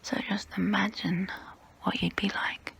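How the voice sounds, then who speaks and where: Woman playing a slow, whispering, seductive vampire. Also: mid-range voice, little pitch movement, a bit bright, rough recording. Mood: tempting, mischievous. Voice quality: breathy whisper. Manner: slow, intimate, deliberate delivery. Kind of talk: ASMR roleplay monologue, close-up whispering.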